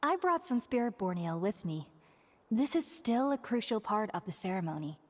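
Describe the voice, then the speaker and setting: A woman's voice: medium pitch, sing-song, normal speed, slight background noise, natural voice. An actress playing a devout young female scholar in a video game, effusive and reverent in manner. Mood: eager.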